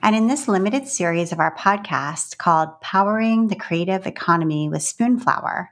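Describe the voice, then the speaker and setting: A woman hosting a podcast, reading an introduction and asking the guest clear questions. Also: medium pitch, lively intonation, normal speed, fairly bright tone, faint music behind. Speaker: podcast host. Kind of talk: scripted intro then interview questions.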